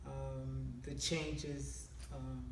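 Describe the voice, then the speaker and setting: A man giving casual interview answers in a halting, measured way as a member of a theatre production. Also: mid-range voice, little pitch movement. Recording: echoey, distant mic, faint room noise.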